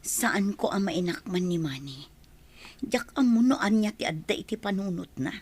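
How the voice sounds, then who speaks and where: A woman playing an anguished, remorseful mother in a radio drama, speaking in a husky, plaintive, earnest voice. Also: medium pitch, expressive, normal speed, a little mellow. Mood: pleading. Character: anguished, remorseful mother longing for her children. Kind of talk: Ilocano radio drama acting.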